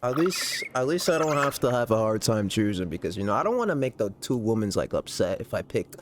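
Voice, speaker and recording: A man giving casual, slangy live reaction commentary while playing a video game, exclaiming excitedly. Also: lowish voice, a little fast, slight background noise. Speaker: gaming content creator reacting to a story quest. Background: video game music.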